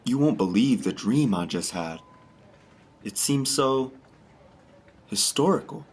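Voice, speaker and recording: A man's voice: mid-range voice, expressive, slow, darkish tone. A man playing an earnest, wistful young man in a radio drama. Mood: wistful, pondering. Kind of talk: scripted radio drama acting.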